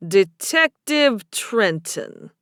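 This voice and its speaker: A woman voicing a flirtatious, cagey male bartender and club owner with a Boston accent and a smooth, unhurried way of talking, for an audiobook. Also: medium pitch, sing-song, a bit bright, dry recording, studio quality, full character voice. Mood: flirty, teasing.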